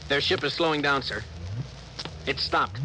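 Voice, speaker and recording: A man's voice: medium pitch, animated, unhurried, bright, forceful tone. An actor playing an earnest, hopeful ship's officer in a 1950s radio drama, reporting deferentially to his superior. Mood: alert. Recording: noisy 1950s radio broadcast recording.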